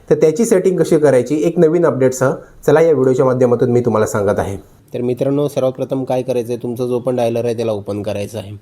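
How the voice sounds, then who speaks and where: Mellow-voiced man giving instructions. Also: mid-range voice. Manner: instructional, repeats steps and check phrases. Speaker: tutorial host. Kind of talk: phone tutorial narration.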